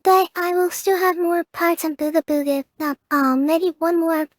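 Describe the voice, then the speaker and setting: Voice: very high-pitched, little pitch movement, normal speed, no echo, studio quality. A teenager hosting a gameplay video with casual, hesitant commentary that often repeats and rephrases.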